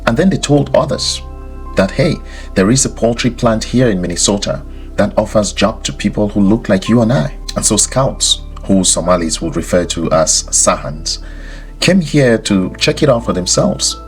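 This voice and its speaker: Very deep-voiced man, deliberate podcast host. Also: expressive, faint music behind. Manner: deliberate, rhetorical questions, repeats phrases for emphasis. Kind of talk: scripted explanatory commentary to listeners.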